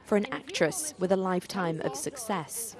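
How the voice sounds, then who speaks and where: A woman's voice: fairly low pitch, very animated, moderate pace. A British woman news reporter voicing a scripted report.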